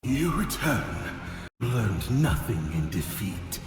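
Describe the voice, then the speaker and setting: A man's voice: mid-range voice, sing-song, slow pace. A man voicing a godlike cosmic villain in a video game, speaking with grandiose, theatrical contempt. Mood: menacing. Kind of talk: scripted game voice acting. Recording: long echoing reverb, music behind.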